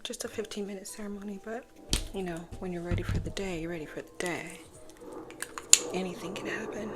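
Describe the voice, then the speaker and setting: A woman in her thirties, sleepy and just up, chatting casually in a soft whisper while doing her makeup at home. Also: lowish voice, very noisy. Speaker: vlogger. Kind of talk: soft-spoken whispered get-ready-with-me vlog.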